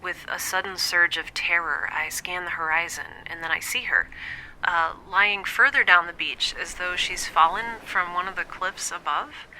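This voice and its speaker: Lonely haunted young space scientist, audio drama log, slow and hesitant, very crisp bright voice drifting into whispers. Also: female voice, fairly low pitch, a little hiss or hum, low-quality recording. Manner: hesitant, confiding, drifting into breathy near-whispers. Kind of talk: scripted audio drama monologue, intimate dream-log recording.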